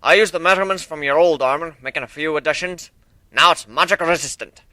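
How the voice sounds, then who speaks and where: A man's voice: a little high, fast talker, pushed and bright, dry recording, voice pushed up. A man voicing a hearty, grateful ship captain in a folksy manner for a story reading. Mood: proud.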